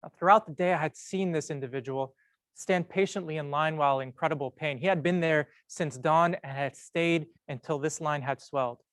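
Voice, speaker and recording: A man's voice: a little high, clear, resonant tone, dry recording. Earnest young man giving a prepared talk. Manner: measured, earnest delivery with slow emphatic pauses. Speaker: medical student speaker.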